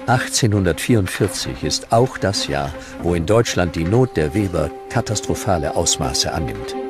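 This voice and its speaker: A man narrating a scripted documentary with dramatic, deliberate storytelling. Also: low voice, animated, music in the background.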